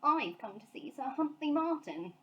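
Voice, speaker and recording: An earnest young boy bear from a children's storybook, played by a woman. He speaks high and with lively swings, politely puzzled and quick to bristle when challenged. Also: lo-fi sound. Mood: indignant.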